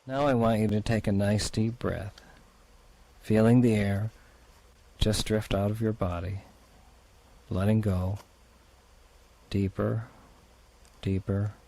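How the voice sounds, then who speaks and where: A man leading a guided relaxation exercise as a therapist, in a soothing, unhurried, gently instructing manner. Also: lowish voice, little pitch movement, vocal fry, low-quality recording.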